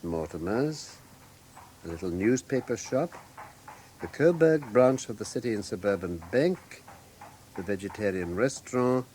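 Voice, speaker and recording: A brilliant British detective in a classic radio play. The voice is low and sweeps widely in pitch, with formal, exact diction and dry self-assurance. A light layer of noise sits behind it. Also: male voice, a little mellow. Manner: precise, authoritative, formal. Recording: faint background noise, old radio production.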